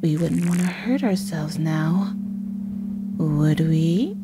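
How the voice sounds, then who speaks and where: A woman voicing a possessive, playfully menacing female snake monster in an ASMR roleplay, breathy and often slipping into a whisper. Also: low voice, very noisy. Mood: teasing menace. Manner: slow, teasing, giggling menace. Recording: music under the voice. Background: music.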